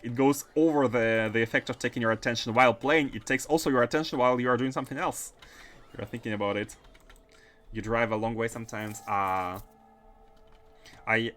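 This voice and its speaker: A man's voice: medium pitch, a bit bright. A man with a Russian accent chatting casually on a gaming livestream, often repeating and restarting his phrases as he plays. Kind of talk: casual livestream chat while playing.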